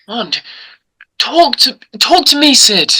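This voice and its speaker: A man's voice: very high-pitched, sing-song, measured pace, sharp tone, slightly rough, exaggerated voice. A man playing a pleading, wounded teenage boyfriend in a script read, stammering and repeating words. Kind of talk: amateur screenplay table read.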